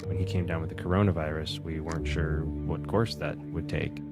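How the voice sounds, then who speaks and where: Deep male voice, editor's explanation, articulate, measured phrasing, guitar music behind. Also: steady tone. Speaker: magazine editor. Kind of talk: explanatory interview for a promotional video. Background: guitar music bed.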